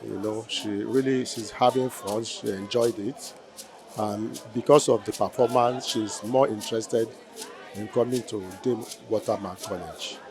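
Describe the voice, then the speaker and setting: A man's voice: fairly low pitch, steady tone, measured pace, a crowd in the background. A man speaking as a parent in the audience, giving an impromptu testimonial and repeating his phrases. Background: music.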